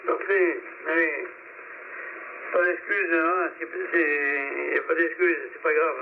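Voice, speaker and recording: Male radio amateur, slightly high voice, rambling casual delivery, very narrow shortwave sound. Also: fairly even intonation, slow pace, gravelly, noisy background. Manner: rambling, hesitant, self-correcting. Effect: radio transmission. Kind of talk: casual ham radio chat, giving signal reports.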